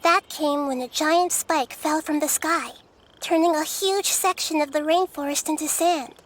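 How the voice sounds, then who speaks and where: A woman voicing a gentle, young-sounding goddess who calmly and thoughtfully explains history. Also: high-pitched, unhurried. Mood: calm explaining. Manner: calm, thoughtful, explaining lore. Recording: game audio with faint background music.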